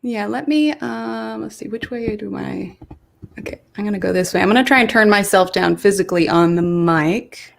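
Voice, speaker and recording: A loud, bubbly woman colors along on a live stream from home. She chats freely, laughs a lot, and pokes fun at her own voice. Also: medium pitch, moderate pace, creaky. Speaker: guest co-host on a coloring stream. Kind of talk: casual live-stream chat.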